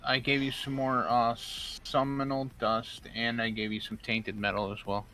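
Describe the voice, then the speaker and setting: Bright-voiced easygoing man explaining. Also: mid-range voice, little pitch movement, unhurried, low-quality recording. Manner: explains things plainly, easygoing. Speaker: co-player. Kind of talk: casual chat while gaming.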